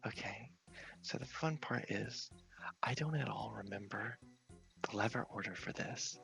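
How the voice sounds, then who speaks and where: Man, soft half-whisper, crackly vocal fry, crisp bright edge, unhurried ASMR gaming commentary, music behind. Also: fairly low pitch. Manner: unhurried, murmuring to himself, reads game text aloud. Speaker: game streamer, video host. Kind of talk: ASMR game playthrough commentary.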